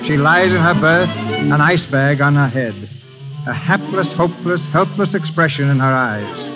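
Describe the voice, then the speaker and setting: Male narrator, vintage radio drama, theatrical, somewhat high voice, dull old recording, music behind. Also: lively intonation, moderate pace.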